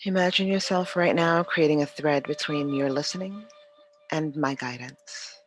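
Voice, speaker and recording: A yoga teacher leading a guided meditation in a calm, soothing, unhurried way with long pauses. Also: medium pitch.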